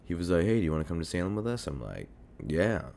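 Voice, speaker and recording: A man's voice: big pitch swings, fast, darkish tone, a little hiss or hum. A young man hosting his own podcast while sick and congested, talking in a rambling, casual way with lots of fillers and swearing, into a desk mic at home. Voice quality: deep hoarse voice. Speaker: podcast host. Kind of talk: casual solo podcast monologue. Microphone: desk mic near a computer.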